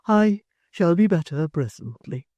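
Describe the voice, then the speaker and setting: A woman's voice: fairly low pitch, animated, dry recording, highs cut off, voice pushed up. A woman doing a timid, tearful spinster. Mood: pleading. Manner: soft, faltering, slow and pleading. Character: timid tearful spinster pleading with her friend. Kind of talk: audiobook character voice.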